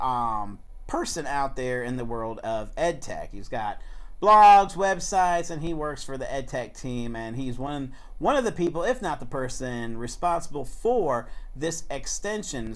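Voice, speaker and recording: A man giving an enthusiastic, emphatic software tutorial in an informal, folksy way, like an educator walking viewers through a demo. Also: fairly high pitch, dry recording. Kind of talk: screencast tutorial presentation.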